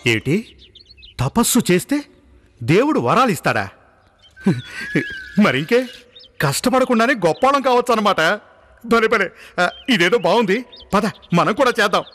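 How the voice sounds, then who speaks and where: An excitable cartoon younger brother, poor, gullible and quick to mock. The voice is sharp and swings wildly, exclaiming in an exaggerated way, with a long reverberant tail. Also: male voice, high voice, full character voice. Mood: eager. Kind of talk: exaggerated cartoon dialogue acting. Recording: long hall-like reverb, music behind.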